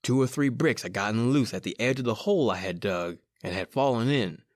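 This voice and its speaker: A man's voice: medium pitch, expressive, brisk, dry recording, close to an everyday voice. A man playing a frightened American criminal, urgent and dramatic, in an old-time radio horror play. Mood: tense, haunted. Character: frightened male criminal narrating his doom.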